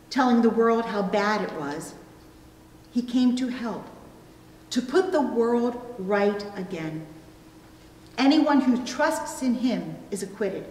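A middle-aged woman delivers a sermon in a medium-pitched voice. She speaks slowly and deliberately, pausing to weight her phrases. The sound is echoey, as in a reverberant church interior. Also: darkish tone. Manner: deliberate phrasing with pauses for emphasis. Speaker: pastor. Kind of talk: sermon with illustrative stories. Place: church pulpit indoors, recorded from a distance. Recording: echoey hall, long reverb.

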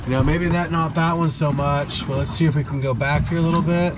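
A man's voice: on the high side, brisk. A man in his thirties on a small fishing boat, chatting casually to an action camera held at arm's length and explaining what he is doing. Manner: relaxed, chatty, explains as he goes. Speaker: fishing vlogger. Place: outdoors on a small fishing boat on open water. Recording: outdoor camera audio with boat noise. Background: boat and water noise.

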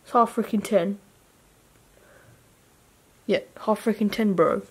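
A woman's voice: mid-range voice, steady tone, takes it slowly, slightly rough, low-quality recording. A young woman in her twenties from England, chatting in a rambling, slangy, sweary way to a camera held at arm's length in a hotel room. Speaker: vlogger. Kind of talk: casual vlog chat. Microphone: phone or camera at arm's length.

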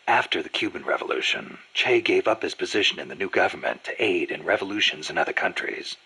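A man playing a gruff, fatherly veteran soldier in a video game, gravelly and raspy, speaking in a measured, reassuring way. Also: deep voice, lively intonation, pushed and bright, full character voice, low-quality recording. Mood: solemn. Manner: measured, reassuring, halting on awkward subjects.

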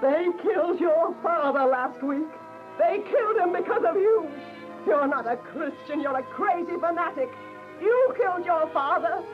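A woman's voice: extremely high pitch, expressive, normal speed. A woman playing a hateful mother who spits accusations at her own child in a radio drama. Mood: hateful, accusing. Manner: spitting words with hatred. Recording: tinny phone-like audio, loud music.

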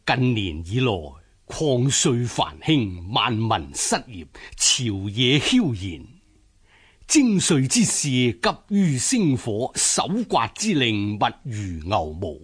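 Troubled official, radio drama: solemn, slow, husky, widely inflected. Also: male voice, mid-range voice, big pitch swings, heavily acted. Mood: solemn indignation. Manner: solemn, measured, declamatory. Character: earnest, troubled male official and loyal husband.

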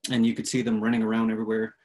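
A young man whose first language is Inuktitut, presenting his artwork on a video call, speaking slowly with many pauses, ums and uhs. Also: lowish voice, monotone, a little mellow. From Canadian Arctic. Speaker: artist. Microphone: video call, laptop mic.

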